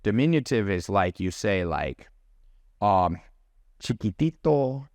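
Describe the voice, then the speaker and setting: Animated man, dry close mic. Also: on the low side, slow pace, dry recording. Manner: animated storyteller, mimics accents. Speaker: podcast guest, business mentor. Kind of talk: casual chat.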